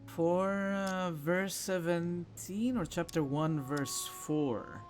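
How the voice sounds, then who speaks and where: A man's voice: high voice, very slow, soft background music. A man giving casual let's play commentary, thinking aloud informally as he works through a game. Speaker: gamer playing a puzzle game.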